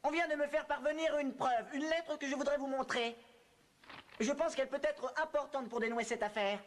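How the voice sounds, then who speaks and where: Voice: high voice, moderate pace, close to an everyday voice. An actor voicing a fussy, officious animal creature in a French-dubbed cartoon.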